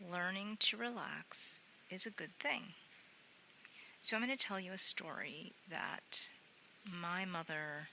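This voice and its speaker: A woman guiding a sleep meditation in a gentle, soothing, unhurried way, often softening into breathy near-whispers. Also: fairly low pitch, a little hiss or hum, rough recording. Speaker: meditation guide.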